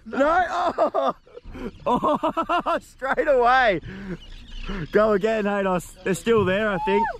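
An Australian man chatting excitedly while fishing on a boat, often repeating himself as he calls out. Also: extremely high pitch, takes it slowly. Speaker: host of a fishing and camping vlog. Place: outdoors on a small boat at sea. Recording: noisy outdoor boat recording.

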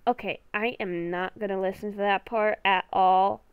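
A woman improvising in character in a game roleplay video, with a halting, exasperated way of talking. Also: mid-range voice, fairly even intonation, smooth, no echo, phone-quality sound. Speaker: gaming video creator playing the lead character.

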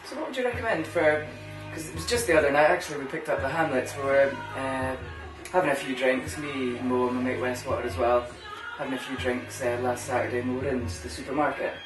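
An easygoing young Scottish man with an Aberdeen accent trades cheeky banter about cigars at a home bar. He is recorded by a camera mic from across the table, so his voice sounds roomy and a touch far off. Music can be heard clearly behind him. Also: medium pitch, fairly even intonation, quick pace, hardly any pauses. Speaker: co-host. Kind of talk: casual chat. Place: home bar room, indoors. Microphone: camera mic at table distance.

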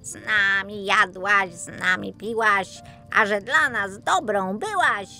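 A woman's voice: medium pitch, slow pace, sharp tone, faint music behind, full character voice. A woman voicing rhyming, clucking farm animals in a children's audio fairy tale, in a sing-song manner. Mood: solemn, kindly. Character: rhyming farm animals, hen, rooster and cow, clucking in chorus.

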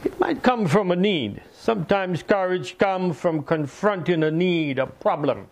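An older man preaching a sermon from a lectern in a small room, slow and deliberate with pauses. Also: high voice. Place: small indoor room by a fireplace mantel.

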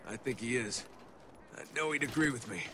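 A man's voice: mid-range voice, a little fast, background noise, lightly acted. A man playing a resolute, commanding special forces captain who talks tersely in a video game. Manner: terse, authoritative. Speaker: squad leader. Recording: echoey, music behind.

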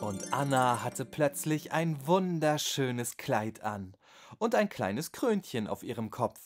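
A man narrating a children's bedtime audio story in a gentle storytelling manner. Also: medium pitch, big pitch swings, takes it slowly, soft background music.

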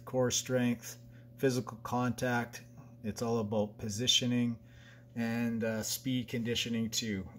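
A man's voice: medium pitch, slight background noise. Man talking through a basketball drill. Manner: plain, conversational, with many fillers and restarts. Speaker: basketball coach. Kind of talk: explaining a drill over a whiteboard diagram.

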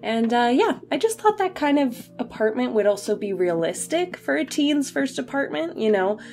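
A woman's voice: a little high, very animated, moderate pace, darkish tone. Chatty woman with a slightly sick voice, music behind. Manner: informal, self-deprecating, chatty asides. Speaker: gaming video creator, narrator. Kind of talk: casual chatty voice-over for a game build. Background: background music under voice-over.

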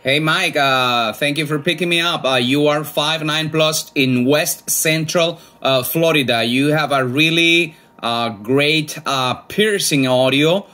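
A man's voice: on the high side, unhurried, hardly any pauses, sharp tone. A man in his forties chatting casually and enthusiastically as an amateur radio operator. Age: 40s. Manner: friendly, chatty, enthusiastic about old radios. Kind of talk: casual ham radio chat.

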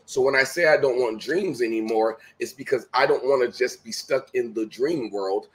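A man's voice: medium pitch, normal speed. Emphatic motivational man on video call. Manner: emphatic, preachy, repeats key phrases. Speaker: guest speaker. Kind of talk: motivational conversation. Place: home room, video call.

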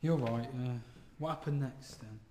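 A man's voice: mid-range voice, normal speed, natural voice. A man acting a grumbling, jumpy young helper in an amateur radio drama, casual and conversational. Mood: uneasy, curious. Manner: complaining, casual. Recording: echoey room, faint noise.

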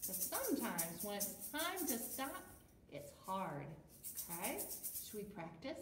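An American woman in her thirties hosting a toddler storytime in an encouraging, animated, sing-song way. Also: medium pitch, measured pace, roomy sound, distant mic, a little hiss or hum. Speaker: children's storytime host. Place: small plain room indoors.

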